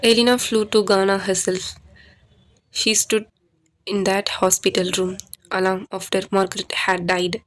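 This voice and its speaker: A woman narrating a scripted horror story as a podcast storyteller, with deliberate, dramatic phrasing. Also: medium pitch, flat delivery.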